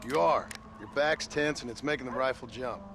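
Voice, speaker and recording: An actor playing a gruff, protective American frontier father in a video game, with a dry, folksy western drawl. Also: mid-range voice, normal speed, very noisy, close to an everyday voice. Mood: dry, patient. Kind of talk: cinematic video game acting.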